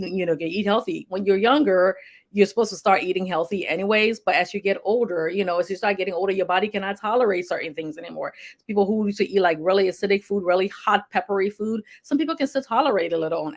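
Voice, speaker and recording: A woman in her forties with a Liberian accent, a healthcare provider chatting casually to her page's followers, rambling and repeating herself. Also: on the low side. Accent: Liberian English. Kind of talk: casual anniversary livestream talk.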